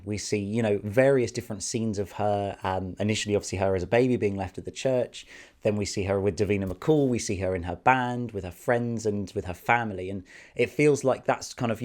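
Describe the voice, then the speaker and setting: A man reviewing an episode in a casual, enthusiastic and chatty way, sounding hoarse from a cold. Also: on the low side, darkish tone. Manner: enthusiastic, chatty, many fillers. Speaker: video reviewer.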